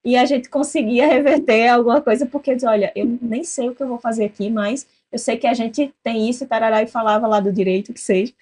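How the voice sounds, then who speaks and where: A woman from southern Brazil with a southern Brazilian accent, hosting a casual interview and telling lively stories that act out quoted dialogue. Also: a little high, fast talker, few pauses. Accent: southern Brazilian Portuguese. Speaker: podcast host.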